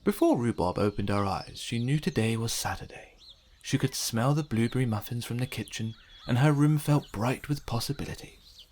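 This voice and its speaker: A man reads a children's storybook aloud in a medium-pitched voice. His delivery is expressive narration, made for young listeners. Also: moderate pace. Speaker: children's book reader.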